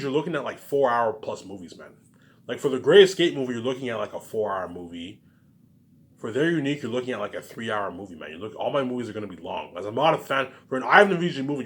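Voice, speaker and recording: A man rambling in a casual monologue, repeating himself, jumping between loud bursts and mumbled trailing endings. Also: on the low side. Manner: repetitive, keeps saying "man" and "obviously". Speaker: vlogger.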